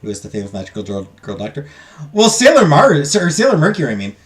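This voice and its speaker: Casual gaming host, a man with a slightly high, very animated voice who jokes and swears with viewers. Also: quick pace, faint music behind. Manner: joking, swears, talks to viewers. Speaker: let's play host.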